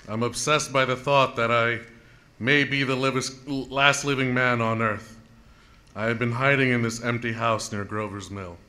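A man's voice: medium pitch, pushed and bright. A man playing a weary, haunted professor and lone survivor, narrating reflectively in a 1930s radio drama monologue. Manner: reflective, measured, sorrowful narration.

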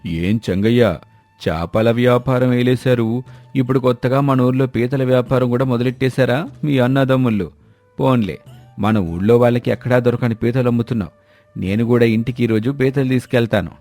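A man playing humble, earnest village men in the dialogue of an animated moral story. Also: medium pitch, fairly quick, no room sound, lightly acted. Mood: friendly. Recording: music under the voice.

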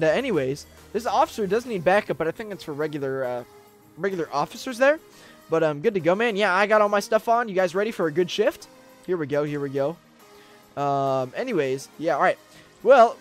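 A young American man doing casual, excitable roleplay gameplay commentary, chatting straight to his viewers at a close mic. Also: high-pitched. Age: young adult. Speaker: gaming video host. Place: indoor room gaming setup with webcam.

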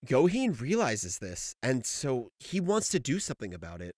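A man's voice: medium pitch, wildly swooping pitch, normal speed, darkish tone. A young man giving casual scripted manga commentary as a video essay host, informal, sweary and emphatic. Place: voice-over. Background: faint music.

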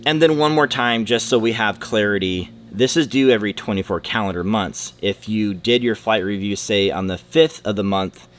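A man in his thirties, a flight instructor, explaining rules to camera in a relaxed, conversational way from a hangar. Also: fairly low pitch, normal speed, hardly any pauses, slight background noise. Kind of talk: casual instructional talk to camera. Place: aircraft hangar, indoors. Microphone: close camera, seated at desk.

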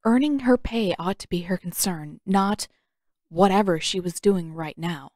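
A woman's voice: fairly low pitch, measured pace, a little mellow, no room sound, studio quality. A woman reading scripted audiobook fiction as the narrator.

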